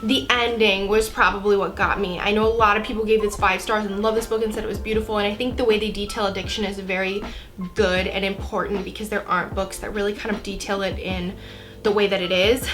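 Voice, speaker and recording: A woman's voice: mid-range voice, flat delivery, fast talker, a bit bright, soft background music. A twenty-year-old American woman from Florida with a cold, chatting casually and informally to camera as a book vlogger. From Florida, United States. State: has a cold.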